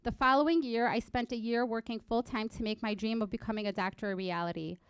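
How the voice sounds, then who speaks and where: A nineteen-year-old woman from Egypt, raised in Illinois, reading a prepared personal story in an earnest, measured way at a college library event. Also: fairly high pitch, steady tone, smooth, no echo, studio quality. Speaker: student speaker.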